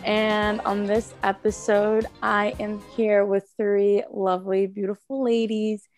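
Slow-talking woman podcast host. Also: mid-range voice, flat delivery, darkish tone, soft background music. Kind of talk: casual podcast chat.

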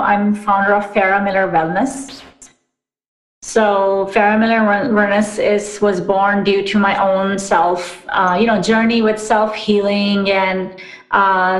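A woman from Pakistan, raised in the US, guesting on a podcast and chatting in an upbeat way with lots of "like" and "you know". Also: mid-range voice. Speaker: podcast guest, wellness business founder. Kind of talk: casual interview chat. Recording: echoey room.

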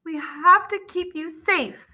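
A worried mother in a storybook, acted by a woman reader. Her high, bright voice is emotional and insistent, heavy with grief and fierce protectiveness. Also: expressive, moderate pace. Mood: pleading.

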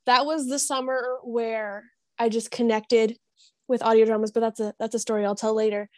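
Female podcast guest, fairly high voice, enthusiastic conversational delivery, very dry recording. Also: flat delivery, normal speed, darkish tone, dry recording. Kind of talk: casual chat.

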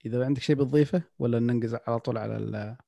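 A man's voice: mid-range voice, fairly even intonation, rapid-fire, creaky, dark tone, dry recording. A man hosting a casual podcast chat, reflective, slipping English phrases into his talk. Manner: reflective, mixes in English phrases, many restarts.

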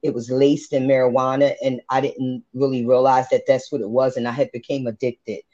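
A woman's voice: rumbling low, level tone, fairly quick, dark tone. A woman in her forties sharing her personal faith testimony, speaking candidly and earnestly with religious phrasing. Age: 40s. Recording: dull lo-fi podcast audio.

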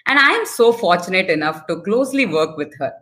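A woman's voice: mid-range voice, big pitch swings, fairly quick, a bit bright. A woman hosting a podcast interview, introducing and praising her guest in a formal, effusive way. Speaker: podcast host.

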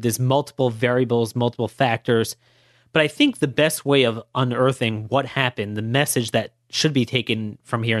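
Hoarse male podcast host, emphatic argumentative monologue, dry close sound. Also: medium pitch, no echo. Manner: emphatic, argumentative, rhetorical questions. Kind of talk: opinionated political monologue.

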